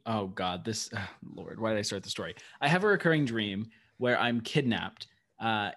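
A man's voice: mid-range voice, a bit bright. Chatty young man co-hosting a podcast. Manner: chatty, rambling storyteller. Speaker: podcast co-host. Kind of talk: casual chat.

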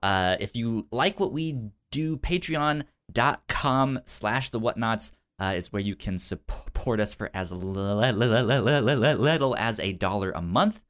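A man co-hosting a casual film review podcast, speaking informally and haltingly, with a frequent stutter that repeats sounds and syllables. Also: mid-range voice, animated, a bit bright. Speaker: podcast co-host.

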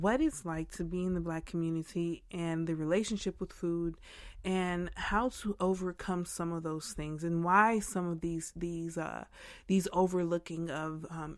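Woman, young adult, American, podcast host, softly spoken, halting, slightly low voice. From Michigan, USA. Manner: soft-spoken, with frequent ums and restarts. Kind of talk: casual interview chat.

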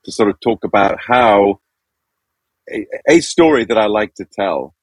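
A man's voice: lowish voice, animated, measured pace, no room sound. A man in his sixties from Zambia, a podcast guest telling a childhood story in a thoughtful, self-deprecating way. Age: 60s. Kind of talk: reflective storytelling.

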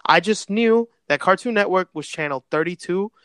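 Casting: laid-back man, native Spanish speaker, bright and fairly high voice, dry close sound, rambling slangy storyteller. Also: moderate pace, clear, resonant tone, dry recording. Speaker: podcast guest. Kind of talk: casual chat.

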